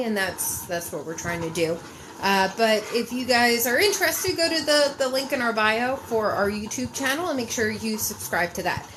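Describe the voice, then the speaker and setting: Sniffly woman chatting on a noisy live stream. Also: on the high side. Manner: rambling and chatty, addressing viewers directly. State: sniffly from a head cold. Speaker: podcast host and mental health mentor. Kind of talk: casual live-stream chat.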